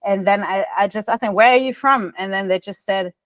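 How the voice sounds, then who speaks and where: Animated woman on a dry video call. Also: medium pitch, fairly quick, no room sound. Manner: animated, gestures a lot, frequent restarts and "no?" tags. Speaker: podcast guest. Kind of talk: casual interview storytelling. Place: bright room indoors.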